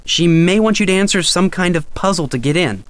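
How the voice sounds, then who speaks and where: A man's voice: sits high, lively intonation, a little fast, smooth, no echo. A young man playing a loyal, teasing boyfriend in a video game. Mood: thoughtful. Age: young adult.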